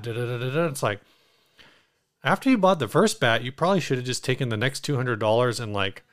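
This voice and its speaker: A man's voice: medium pitch, fairly bright tone, clean tone. A man speaking as a podcast co-host in casual, rambling chat, often reworking his phrases as he goes.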